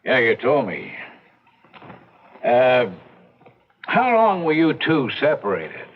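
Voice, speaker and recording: A matter-of-fact, skeptical police lieutenant. His mid-pitched voice is blunt yet varies widely in tone. It has the dull sound of an old radio drama. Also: wildly swooping pitch, slow, heavily acted. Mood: probing. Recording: dull, slightly noisy radio recording.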